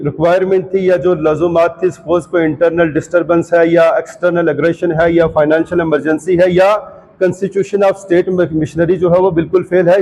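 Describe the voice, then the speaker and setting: Man, mellow tone, formal legalistic speaker, press statement, wordy, light background noise. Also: fairly high pitch, vocal fry, low-quality recording. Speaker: political party speaker at press conference. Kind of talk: press conference statement, mixing Hindi and English.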